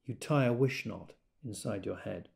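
Audio drama: reflective lover, quiet and intimate, lowered creaky voice. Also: male voice, normal speed. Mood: tender. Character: tender, reflective man musing on a lover.

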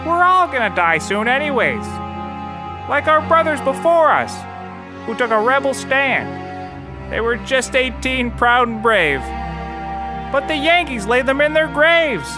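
An actor playing a self-serious, bumbling cult brother in a comedy audio drama, with mock-solemn, overwrought delivery. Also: male voice, extremely high pitch, full character voice.

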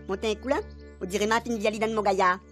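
A man's voice: sits high, fairly even intonation, fast, a bit bright, faint music behind. A man playing a crafty, grumbling village fortune-teller with a Réunionese accent in a radio comedy serial. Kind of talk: Creole radio comedy acting.

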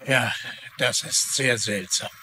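A man's voice: medium pitch, takes it slowly, sharp tone. An actor playing an earnest, plain-spoken small-town lawman in a German-dubbed TV mystery. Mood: pondering. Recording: clearly noisy background ambience.

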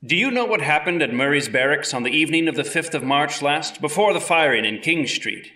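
A man acting a bright, probing colonial lawyer. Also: on the high side, expressive, fairly quick. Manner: formal, probing. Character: 18th-century lawyer questioning witnesses, formal and probing. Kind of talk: scripted courtroom re-enactment. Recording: roomy echo, distant from mic.

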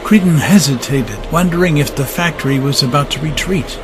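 A man's voice: a little high, normal speed, natural voice. A man narrating a steampunk adventure audio drama as a scripted, dramatic storyteller. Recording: music under the narration.

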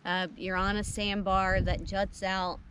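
A woman's voice: medium pitch, level tone, unhurried. A woman in her thirties casually explaining practical camping tips on a beach in a friendly, informative way. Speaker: travel vlogger. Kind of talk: casual travel vlog chat. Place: outdoors on a beach beside a truck camper. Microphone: camera on a tripod, seated. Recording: outdoor recording with faint background noise.

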